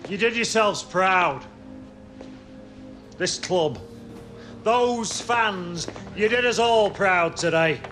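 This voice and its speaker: Earnest male football manager, high voice, slow measured delivery, video game, music behind. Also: expressive. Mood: proud.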